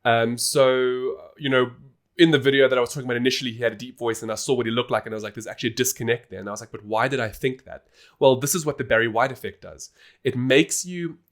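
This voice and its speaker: A man's voice: mid-range voice, fairly even intonation, quick pace. A man hosting a podcast, explaining things in a casual, informal and emphatic way. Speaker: podcast host.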